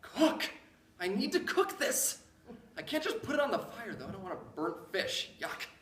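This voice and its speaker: A woman's voice: medium pitch, big pitch swings, normal speed, fairly bright tone. A woman playing an exasperated, sarcastic college-age son in a staged script reading, with a dramatic manner. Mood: frustrated musing. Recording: echoey room.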